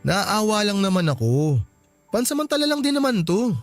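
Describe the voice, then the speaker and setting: A man acting a pressured, soft-hearted young Filipino husband in a radio drama, hesitant and placating. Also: high voice, very animated, normal speed, no room sound, faint music behind. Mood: pleading, defensive.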